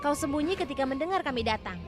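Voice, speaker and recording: A clever young cartoon sleuth, confident and high-voiced. She speaks as a girl detective over clear background music. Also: expressive, fast talker. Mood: confident explaining. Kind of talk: animated cartoon dub acting. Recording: music behind the voice.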